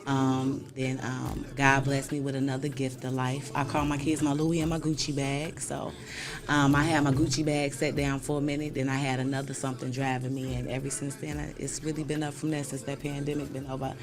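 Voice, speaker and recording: A woman in her thirties, a stand-up comic, telling her story in a relaxed, laughing way. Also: rumbling low, fairly quick, crowd noise. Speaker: guest comedian. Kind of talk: casual interview chat.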